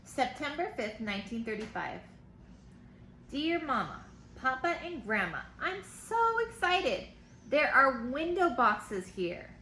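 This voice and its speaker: Woman teacher, children's storytime read-aloud, bright voice, simple explanatory asides, roomy echo with faint noise. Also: sits high, very animated, a little slow. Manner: simple explanations and questions addressed to young listeners. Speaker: teacher reading a picture book aloud to children.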